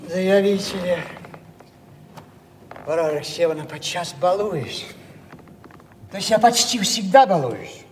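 An actor playing a timid, rambling old father in a theatrical audio play, halting and repeating himself. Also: high voice, expressive. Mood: pleading, confiding. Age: older. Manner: hesitant, repetitive, flustered and halting. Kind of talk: theatrical stage acting of a Russian classic. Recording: echoey hall, distant mic, faint noise.

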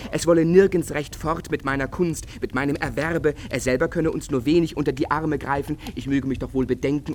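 A man's voice: on the high side, darkish tone, slight background noise, natural voice. A man playing a passionate, brooding young painter in love in a literary radio drama, declamatory and agitated, with old-fashioned diction. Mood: bitter, hurt.

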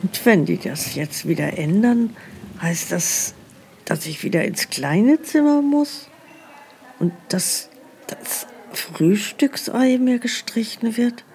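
A woman playing an anxious, forgetful elderly care-home resident in a radio play, hesitant and plaintive, with a creaky voice. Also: medium pitch, animated, slow pace, mellow, slight background noise.

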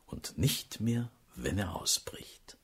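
An actor playing a sly, cynical statesman in a radio play, husky and insinuating, with deliberate pauses. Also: lowish voice, big pitch swings, fairly bright tone, whispered, heavily acted. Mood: sly, confiding.

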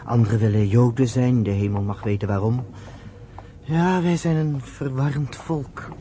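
A man's voice: medium pitch, moderate pace, warm tone, close to an everyday voice. An actor in a Dutch radio play voicing a friendly, earnest man who explains things thoughtfully and patiently. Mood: reflective. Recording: noisy old radio play recording.

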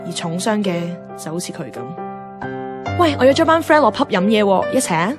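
An actress playing a blunt, nagging young best friend in a Cantonese radio drama, with a Hong Kong accent and a brisk, dramatic, teasing delivery. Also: female voice, medium pitch, sing-song, over music, close to an everyday voice. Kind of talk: radio drama acting and narration.

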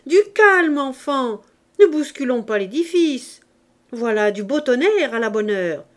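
A woman as a cocky street boy in a storybook reading, loud, slangy and bossy, slow-paced. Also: mid-range voice, lively intonation, natural voice. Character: cheeky streetwise Paris urchin boy.

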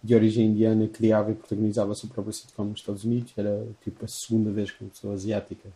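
A man's voice: lowish voice, monotone, very fast, mellow, low-quality recording. A man with a European Portuguese accent, explaining things at length in casual chat, often correcting himself mid-sentence. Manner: rambling, self-correcting explanations.

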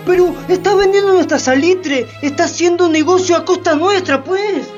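A woman's voice: extremely high pitch, moderate pace, a little mellow, muffled recording, faint music behind. A woman playing an exasperated, scolding bourgeois in satirical radio theatre, emphatic and full of rhetorical questioning. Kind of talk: Spanish-language radio theatre acting.

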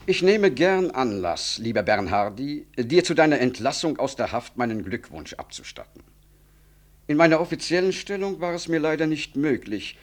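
An actor playing a pompous government minister in a German radio play, formal and oratorical. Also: male voice, mid-range voice, lightly acted. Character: pompous, smooth-talking government minister, an Excellency.

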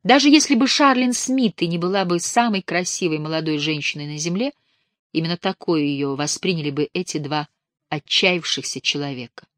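A woman reads an audiobook in a measured, expressive way. Her voice sits on the low side and is captured close on a studio mic. The sound is completely dry, with no room at all. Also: no echo. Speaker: audiobook narrator. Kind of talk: scripted audiobook narration.

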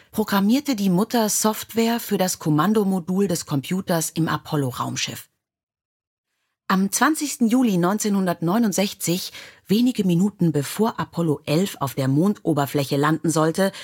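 A woman's voice: mid-range voice, husky. A woman narrating scripted biographical stories in a storybook style. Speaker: audiobook narrator.

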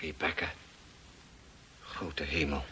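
An actor playing a stern, hot-tempered husband in a radio drama, barking commands in a forceful, rough voice. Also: male voice, very deep voice, little pitch movement, takes it slowly, heavily acted. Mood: aghast.

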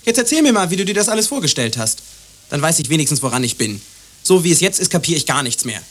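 A man's voice: fairly high pitch, big pitch swings, fast, a bit bright. An actor in a German radio play playing a moody young man who is brooding, volatile and insistent. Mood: agitated. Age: young adult. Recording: faint background noise.

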